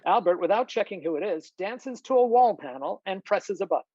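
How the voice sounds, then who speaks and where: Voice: medium pitch, lively intonation, fairly quick, mellow, no room sound. A narrator reads screenplay scene headings and stage directions as scripted narration over a video call. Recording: slightly dull video-call audio.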